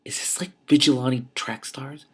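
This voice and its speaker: A man reading a novel aloud as host, in an expressive style with emphatic phrasing and off-the-cuff commentary. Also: medium pitch, normal speed, slightly rough. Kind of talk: expressive audiobook-style reading with spontaneous reactions.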